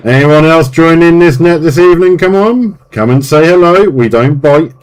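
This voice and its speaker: A man's voice: fairly high pitch, normal speed. An English man running a CB radio net, chatting in a matey, colloquial way. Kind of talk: casual CB radio chat. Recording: loud radio static and hiss. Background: constant sideband static noise.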